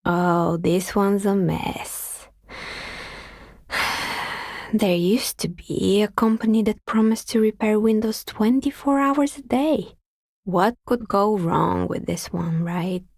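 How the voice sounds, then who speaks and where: An actress playing a wry, world-weary artisan dicemaker in a video game, speaking in a dry, understated, matter-of-fact way with a husky, breathy voice. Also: female voice, mid-range voice, steady tone, takes it slowly, no room sound. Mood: wry, resigned. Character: wry, world-weary woman artisan who makes novelty dice. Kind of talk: video game character dialogue.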